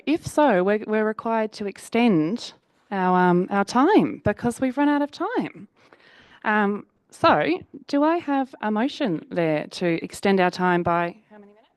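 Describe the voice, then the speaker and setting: A woman chairing a council meeting, brisk and procedural, her voice slightly hoarse. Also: mid-range voice, darkish tone, no echo. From Australia.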